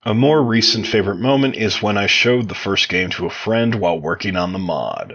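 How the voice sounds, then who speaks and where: A man's voice: on the low side, fairly bright tone, dull audio, slight background noise. A man giving prepared answers as a podcast interview guest, sounding like he reads them out. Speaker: interview guest, game mod developer.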